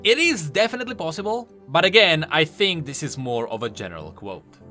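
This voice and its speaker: Bright, very animated male game lore commentator. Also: fairly high pitch, a little fast. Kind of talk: explanatory commentary voice-over. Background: faint music.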